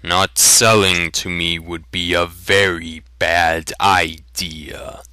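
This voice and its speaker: A man voicing a gruff, good-humoured, plain-spoken blacksmith in a fantasy audiobook. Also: sits low, level tone, takes it slowly, sharp tone, no room sound, voice pushed down, exaggerated voice. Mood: threatening. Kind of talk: dramatic fantasy audiobook reading.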